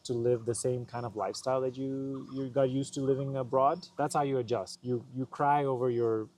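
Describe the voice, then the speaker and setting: A man's voice: mid-range voice, fairly even intonation, brisk, darkish tone. A young man, a vlogger, answering viewer questions in a laid-back, joking way with some hesitant fillers. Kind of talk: casual Q&A chat.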